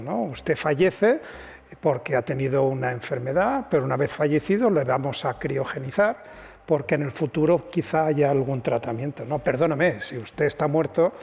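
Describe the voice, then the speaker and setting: A man's voice: fairly high pitch, darkish tone, slightly rough, in a large hall. A man, a scientist guest explaining his field on a panel, patient and emphatic. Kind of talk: panel discussion.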